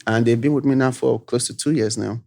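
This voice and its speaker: A man's voice: mid-range voice, a little fast, vocal fry. Nigerian-accented man telling his story. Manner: relaxed storytelling, frequent "you know" tags. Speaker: guest, tech founder. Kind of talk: casual interview chat.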